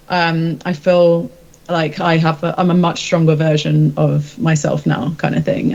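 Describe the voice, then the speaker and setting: A woman's voice: lowish voice, a little mellow, highs cut off. A woman speaking as a singer being interviewed, informal and reflective, feeling her way through candid answers. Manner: informal, hesitant, self-reflective.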